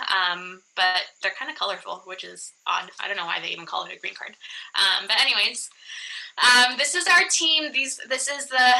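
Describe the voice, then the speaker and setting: A woman's voice: medium pitch, bright, forceful tone, poor audio. A woman, an immigration attorney, presenting a webinar in a chatty way with many "um" fillers and restarts. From born in Iraq, raised in the US.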